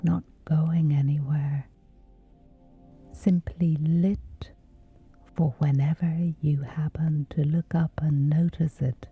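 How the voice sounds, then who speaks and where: A woman softly guiding a scripted sleep meditation in a breathy, gentle, soothing hush with long pauses. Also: low voice, fairly even intonation, narrow, tinny audio, soft background music. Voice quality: breathy, whispery soft.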